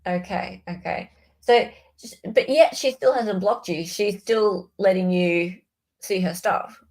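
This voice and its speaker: A woman's voice: mid-range voice, takes it slowly, darkish tone, poor audio. Female livestream host, mid-range voice, casual and conversational delivery.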